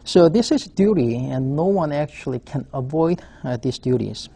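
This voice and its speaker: Gravelly Korean-accented man giving a lecture. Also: on the high side, animated, warm tone. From South Korea. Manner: halting, frequent "uh" fillers. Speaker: university professor. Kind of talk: webcast lecture.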